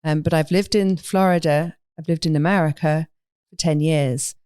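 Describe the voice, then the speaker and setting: London english lady, low smooth voice, measured thoughtful podcast guest chatting, super dry sound. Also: steady tone, a little mellow, dry recording. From London, England. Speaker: podcast guest, animal sanctuary owner. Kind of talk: reflective interview conversation.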